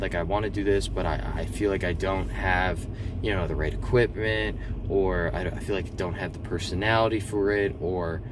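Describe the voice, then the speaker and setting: A man talking casually with many ums and restarts, in a slightly monotone voice, recorded in a car. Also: lowish voice. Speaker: eBay seller vlogger. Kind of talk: casual vlog talk. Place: in a car, driving around town. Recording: distant mic, loud car and street noise.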